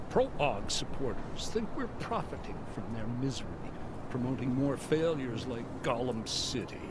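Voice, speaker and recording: An actor playing a proud, defensive, idealistic event host in a video game, speaking formally and assertively. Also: male voice, medium pitch, very noisy. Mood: firm assurance. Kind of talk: cinematic video game voice acting.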